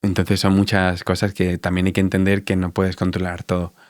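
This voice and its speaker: A man in his thirties with an Andalusian Spanish accent, answering interview questions in a reflective, halting way with many fillers. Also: deep voice, completely flat delivery, no echo, clean studio sound. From Spain. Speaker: dentist guest.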